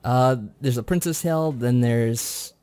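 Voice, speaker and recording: Regular guy voice, mid pitch, playing along in a quiz, wry, a bit sweary, laid out casual. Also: slow pace, warm tone, a little hiss or hum. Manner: wry, self-deprecating, sweary. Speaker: quiz contestant. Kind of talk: casual chat.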